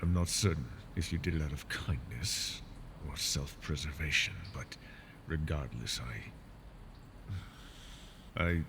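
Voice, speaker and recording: A man's voice: extremely low pitch, a little slow, long pauses, noisy background. A man voicing a proud, wounded male dragon in an ASMR fantasy roleplay, haughty and scornful while he is tired and in pain. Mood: relieved, grudging.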